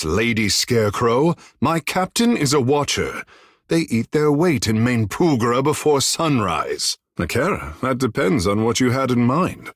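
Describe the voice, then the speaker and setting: Picture a flamboyant, charming young demigod of the sea islands, vain and courtly in a fantasy video game. His phrasing is lofty and theatrical, rising and falling widely. The voice has a slightly husky, breathy grain and sits very close and dry. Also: medium pitch, no echo. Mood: haughty, amused. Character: flamboyant, vain, charming godlike islander man, water shaper. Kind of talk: video game character dialogue.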